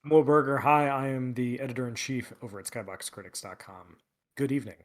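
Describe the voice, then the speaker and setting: A man's voice: medium pitch, fairly even intonation, a little fast. A man co-hosting a casual podcast, talking in a loose, rambling way with lots of hesitation. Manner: rambling, hesitant, filler-heavy. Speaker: editor-in-chief, podcast co-host.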